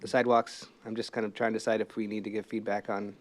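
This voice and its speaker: A man's voice: lowish voice, level tone, quick pace. An American man, a city council member, asking questions informally and tentatively, circling around his point in a meeting.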